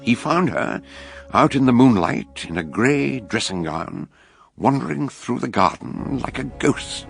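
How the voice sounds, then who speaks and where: An actor playing a shrewd, courteous older British detective in a radio drama, speaking in a ponderous, theatrical way. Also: male voice, mid-range voice, expressive, measured pace, gravelly, soft background music. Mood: grave storytelling. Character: shrewd, grave, genial older male detective. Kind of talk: radio drama acting and narration.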